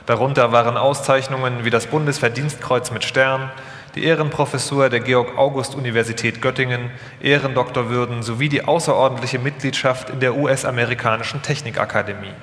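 Male narrator for a live scripted documentary, mid-pitched, in a very reverberant hall. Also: steady tone, fairly quick, a bit bright. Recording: echoey hall, long reverb.

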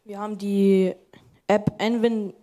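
A young woman presents a project without rehearsal, speaking very slowly and haltingly. Her voice is soft-edged and mellow, at an average pitch. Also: level tone. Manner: hesitant, halting. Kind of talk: unrehearsed presentation.

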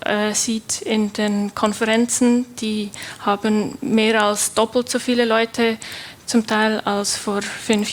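A young woman with a Swiss German accent, a developer giving a technical conference talk, hesitant with many fillers and restarts. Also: fairly high pitch. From Switzerland.

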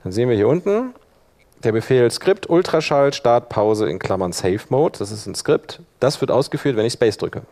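Male workshop instructor, mid-pitched, informal and hands-on, thinks aloud while demonstrating software. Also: animated, moderate pace.

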